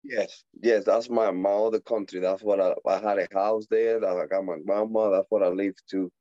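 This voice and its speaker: Puerto Rican man in halting, animated English. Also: on the low side, no room sound, dull audio. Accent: Puerto Rican Spanish. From Puerto Rico. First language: Spanish. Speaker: boxer guest. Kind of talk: casual interview chat.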